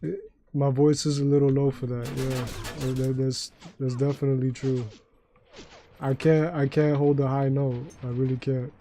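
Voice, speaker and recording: A young man with a deep voice chatting loosely and profanely while streaming a game and taking chat requests. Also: flat delivery, moderate pace, dark tone, a little hiss or hum. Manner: loose, profane, joking, sings badly on request. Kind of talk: casual gaming livestream chat.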